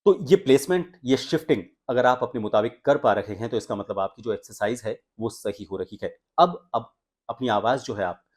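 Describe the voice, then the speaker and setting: एक आदमी जो आवाज़ सुधारने का पाठ पढ़ाता है, हमिंग की आवाज़ें करके दिखाता है और हिंदी लहजे में बोलता है।. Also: male voice, mid-range voice, moderate pace. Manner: explanatory, demonstrates humming sounds. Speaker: voice coach and anchor. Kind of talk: voice training lesson.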